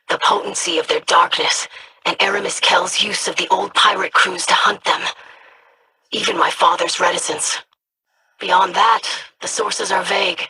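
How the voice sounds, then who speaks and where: A performer voicing a scholarly researcher of ancient relics in a video game, speaking in a measured, formal, analytical way. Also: mid-range voice, bright, forceful tone, lightly acted, rough recording. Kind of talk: video game character lines.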